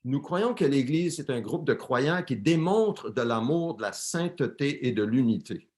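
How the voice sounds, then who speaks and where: A man interprets a guest preacher live for a church congregation, with a mid-pitched voice. He often repeats words and corrects himself mid-phrase as he translates. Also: animated, moderate pace, darkish tone.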